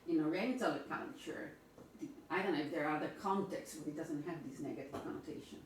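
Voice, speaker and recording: A woman's voice: low voice, fast talker, a little hiss or hum. A woman born in Argentina and raised in Italy, asking questions in a seminar and checking agreement as she goes. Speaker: seminar participant. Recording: roomy, from far off.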